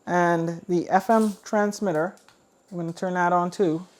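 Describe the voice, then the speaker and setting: A woman's voice: fairly low pitch, steady tone, unhurried, darkish tone. A woman giving a casual, chatty product review, thinking aloud as she demonstrates. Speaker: host of a radio review channel.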